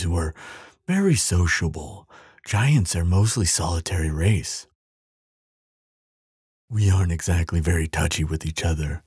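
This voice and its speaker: A tender, earnest giant acted by a man murmurs in a very husky, breathy voice, close and dry. He takes his time, soothing and affectionate, with lilting, expressive intonation, as in an intimate ASMR roleplay. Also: low-pitched, no room sound, exaggerated voice. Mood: thoughtful, affectionate. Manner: tender, unhurried, hesitant murmuring with soft hums and sighs.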